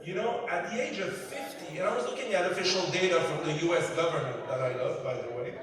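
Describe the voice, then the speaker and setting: A man in his fifties with a French Canadian accent gives a conference keynote, his voice pitched fairly high for a man. He speaks with emphasis in short, repeated phrases, since French is his first language. He stands back from the mic, and the large hall echoes heavily around him. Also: fast, far from the mic, crowd noise. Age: 50s. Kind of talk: conference speech.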